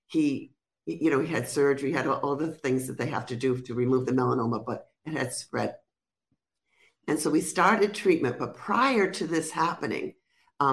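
A woman's voice: low-pitched, fairly bright tone. A woman from Rhode Island with a Rhode Island accent, speaking as a guest minister and giving an earnest testimony. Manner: earnest, declarative, repetitive phrasing. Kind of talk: testimony on a call-in interview.

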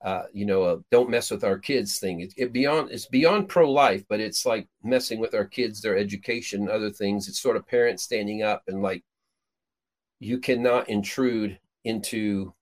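A middle-aged man with a fairly low voice talks loosely on a chat show. He rambles and keeps restarting his sentences. He was raised in Peru. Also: normal speed. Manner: rambling, many restarts and fillers. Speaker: prophetic minister and show host. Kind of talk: casual chat.

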